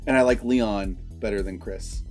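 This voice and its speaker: A man's voice: on the low side, normal speed, darkish tone, soft background music. A man chatting casually and profanely as a movie review co-host, rambling and repeating himself for emphasis.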